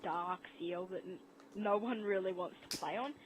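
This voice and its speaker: A woman in a casual gaming discussion over voice chat, halting and repeating words as she goes. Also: fairly low pitch, rough recording. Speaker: podcast guest. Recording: faint noise, online voice chat.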